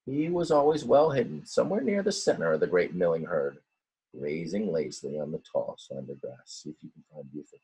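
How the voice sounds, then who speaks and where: A man reading a children's storybook aloud in a dramatic, expressive way, with simple explanatory asides for young listeners. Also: low voice, a little fast, gravelly, slightly rough, poor audio. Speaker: teacher reading a picture book aloud.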